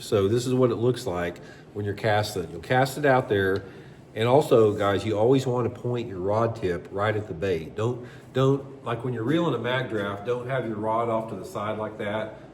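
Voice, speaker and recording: A man with a fairly low voice walks through fishing tackle tips in an easygoing, conversational way, dotted with ums and uhs. His voice sounds a little stuffed up from allergies. A faint hiss sits under the recording. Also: normal speed. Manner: relaxed, conversational, many fillers. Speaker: bass fishing channel host. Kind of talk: casual tackle demonstration.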